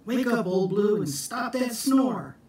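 A man playing a high-pitched, emphatic country boy. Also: lively intonation, slow pace. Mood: bossy, insistent. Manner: loud, emphatic calling out. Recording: echoey room, distant phone mic.

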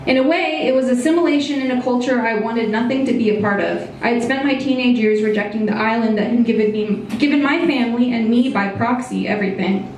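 A young woman reading her personal essay aloud into a stand mic at a small indoor venue, in a measured, reflective way. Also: a little high, a little mellow, far from the mic, slight background noise. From United States. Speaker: essay reader. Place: small brick-walled bar venue, indoors. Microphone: stage mic on a stand. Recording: very echoey room, voice a bit off-mic.

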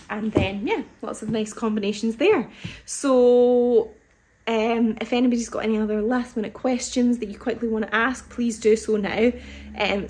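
30s woman rambling thru sewing questions on a live stream, bit croaky from a cold, webcam mic in her shop. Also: mid-range voice, darkish tone, a little hiss or hum. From United Kingdom. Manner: chatty, thinks aloud with many ums and restarts. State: slightly hoarse with an oncoming cold. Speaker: fabric shop owner hosting a live sewing Q&A. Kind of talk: casual live-stream chat answering viewer questions. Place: fabric shop floor with fabric bolts and dress forms, indoors. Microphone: phone or webcam at desk distance.

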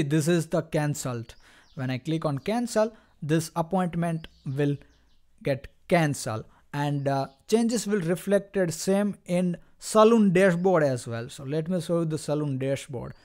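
A man instructing in an unscripted screen-recorded software demo, explaining step by step in a halting way and addressing viewers directly. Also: fairly high pitch.

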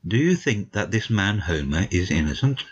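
A man with a very low, rough-edged voice narrates a classic story. His reading is expressive and varied in pitch, on a slightly dull recording. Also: moderate pace. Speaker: audiobook narrator. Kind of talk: literary first-person narration in a dramatic reading.

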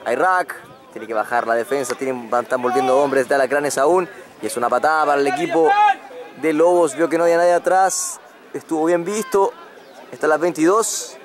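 A man's voice: a little high, a little fast. A man giving live play-by-play commentary on a rugby match outdoors. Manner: excitable play-by-play, rises on breaks. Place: outdoors at a sports ground. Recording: crowd noise behind. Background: crowd and field noise.

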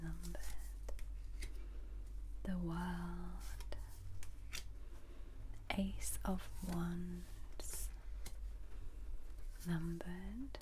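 A young woman whispers slowly and softly for ASMR inside a small caravan, rambling as she sorts cards. Her voice is a touch low and worn after a recent bad cough, with a mellow, dark tone and a faint hiss of noise behind it. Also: level tone. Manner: soft, rambling whisper while handling cards. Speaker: ASMR creator.

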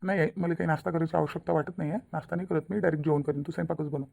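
A man's voice: fairly high pitch, fairly even intonation, very fast, vocal fry, dark tone. A man voicing a polite young adult village son in a cartoon, in a matter-of-fact manner. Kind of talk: cartoon voice acting.

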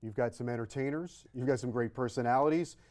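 A man hosting a college sports TV show, introducing topics and questioning the coach in a slow, deliberate delivery. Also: mid-range voice, darkish tone. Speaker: TV show host. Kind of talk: studio sports interview. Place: TV studio set. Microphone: lapel mic.

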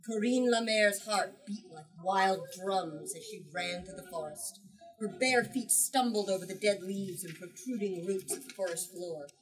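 A young adult woman telling and reading a story to children in an expressive, emphatic way, as a book talk leader. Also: medium pitch, measured pace, slight background noise. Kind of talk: storytelling and read-aloud to children. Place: small bookstore, indoors. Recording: distant from the microphone.

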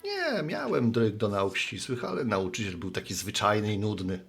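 A man's voice: fairly low pitch, very animated, normal speed, creaky, slightly rough, faint music behind, exaggerated voice. An actor playing a good-natured, sheepish middle-aged man, a postman and bar regular, talking in a folksy, rambling way in a radio play. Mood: musing.

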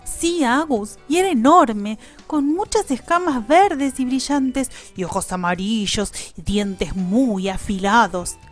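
Mamá maestra argentina interpretada por una mujer, muy animada. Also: female voice, fairly high pitch, slow pace, few pauses, faint music behind, pitched above the performer's own voice. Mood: excited. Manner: animated, emphatic. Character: playful, dramatic schoolteacher mother telling her adventure.

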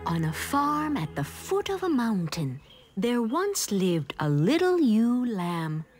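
A woman narrating a children's storybook in an expressive, deliberate way. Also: fairly high pitch. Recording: music behind the voice.

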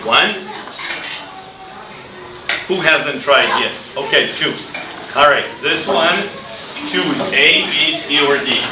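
Middle-aged male ESL teacher, unhurried simple delivery, recorded at a distance in a noisy, echoey classroom. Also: a little high. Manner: simple, deliberate phrasing with repeated words and short instructions. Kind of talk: classroom English lesson for children. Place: indoor school classroom.

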